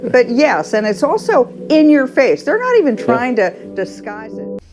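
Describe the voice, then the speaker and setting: A woman's voice: a little high, very animated, normal speed. American woman analyst, music faintly behind. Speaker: news commentary guest. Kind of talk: broadcast interview analysis.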